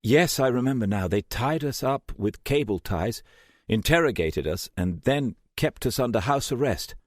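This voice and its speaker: A man's voice: mid-range voice, animated, normal speed, no echo, studio quality, voice pushed up, close to an everyday voice. A man voicing a frightened young woman journalist, dazed and struggling to remember. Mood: anxious.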